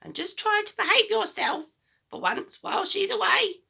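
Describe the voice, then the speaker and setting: Storybook granny, old, grumpy, bossy, scolding, high-pitched, piercingly sharp. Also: female voice, big pitch swings, normal speed, vocal fry, full character voice. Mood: bossy, nagging. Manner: scolding, snapping, complaining.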